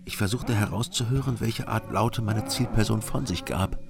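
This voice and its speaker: An actor playing a brooding surveillance agent who narrates a radio crime drama in a measured, sober, observational way. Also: male voice, on the low side, gravelly. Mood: watchful. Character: brooding East German surveillance agent narrating his investigation. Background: music.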